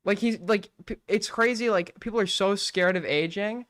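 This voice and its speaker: A man's voice: high voice, normal speed, noisy background. A young man in his twenties chatting casually on a late-night stream, rambling and swearing, filling his talk with "like". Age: 20s. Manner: rambling, swears a lot, fills with "like".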